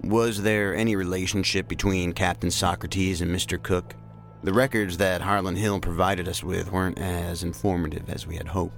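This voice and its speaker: An actor playing a measured, plainspoken male investigator, a seasoned crime specialist in a science fiction audio drama. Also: sits low, fairly even intonation, creaky, soft background music. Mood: dry, inquiring.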